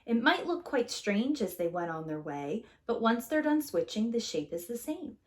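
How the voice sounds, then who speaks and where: A woman reading a children's picture book aloud as a preschool teacher, in an expressive, theatrical way with drawn-out key words. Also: fairly high pitch.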